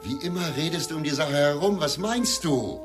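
A man's voice: fairly high pitch, lively intonation, moderate pace, music in the background, natural voice. A man playing an embittered, hard-drinking ex-detective in a crime radio play, combative and defiant. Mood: scornful. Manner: scornful, defiant.

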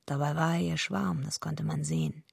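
An actress playing a tough, streetwise, defiant female loner in a radio drama, with a blunt, slangy, deadpan delivery. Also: low-pitched, normal speed, gravelly, breathy edge, dry recording, clean studio sound, exaggerated voice. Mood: dry narration. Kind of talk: radio drama acting with first-person narration.

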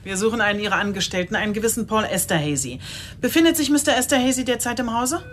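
A woman's voice: on the high side, animated, fast talker, slight background noise, close to an everyday voice. An actress playing an impatient, no-nonsense female chief inspector in a German radio crime drama, curt and demanding. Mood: impatient, forceful.

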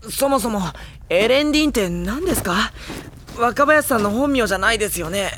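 Light-voiced, sardonic teenage schoolboy. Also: male voice, very high-pitched, expressive, a little fast, a little hiss or hum. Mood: puzzled, earnest. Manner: gentle narration, dry teasing retorts. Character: mild, sardonic high school boy, bookish narrator. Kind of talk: Japanese audio drama narration and dialogue.

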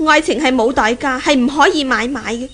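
Unyielding young woman in love, Cantonese radio drama, high-pitched, earnest, indignant, proud. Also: fairly quick, fairly bright tone. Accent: Hong Kong Cantonese. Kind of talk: 1970s Hong Kong radio drama acting.

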